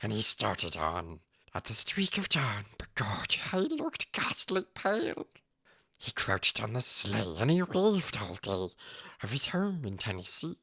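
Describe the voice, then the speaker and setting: A man performs a small, wretched creature with a gravelly, croaking rasp. The voice swings wildly from low mutters to thin whines, twitchy and strained, as it slowly recites like a dark storybook tale. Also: wildly swooping pitch, no echo, heavily acted. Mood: anguished, dramatic. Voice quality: raspy, croaky, strained throat. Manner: talks to self in plural, erratic swoops. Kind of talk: dramatic poem recitation in character.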